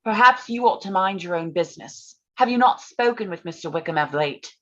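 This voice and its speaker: A woman playing a gentle, forbearing young gentlewoman in a staged reading of a period drama, earnest and polite, on slightly dull audio. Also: medium pitch, animated, brisk, natural voice. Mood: pointed.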